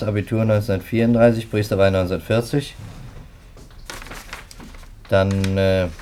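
An actor playing a methodical, skeptical police detective in a German radio play, brisk and official in manner. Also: male voice, lowish voice, a little mellow, natural voice. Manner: brisk, official, matter-of-fact.

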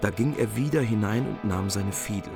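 A man narrating a medieval epic in calm, measured prose for a radio play. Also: lowish voice, creaky. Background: music.

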